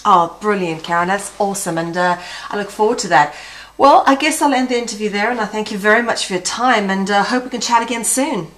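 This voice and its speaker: A woman's voice: mid-range voice, a little fast, a bit bright. South african lady doing an interview, mid-range voice, effusive, stumbles over words a bit. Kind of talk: conversational interview.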